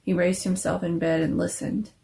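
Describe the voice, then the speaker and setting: A woman's voice: lowish voice, flat delivery, moderate pace, vocal fry, mellow. A woman narrator giving a dramatic reading of a scary story.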